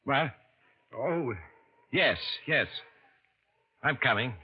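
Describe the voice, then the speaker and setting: A muffled old radio recording. a courteous, watchful gentleman from a 1940s mystery drama. His voice is mid-pitched and husky, his phrasing measured and shrewd. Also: lively intonation, heavily acted. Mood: calm, courteous. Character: polite, shrewd, watchful male associate, nobody's fool.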